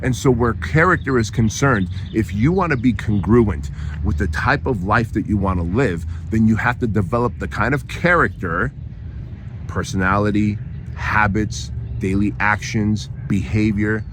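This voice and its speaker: A hoarse man with a fairly low voice speaks straight to his listeners in an emphatic motivational monologue. He leans on repetition and keeps tossing in "right?" to keep them with him. A faint hiss of room noise sits under his voice. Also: normal speed. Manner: emphatic, repeats phrases, frequent tag "right?". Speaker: podcast host.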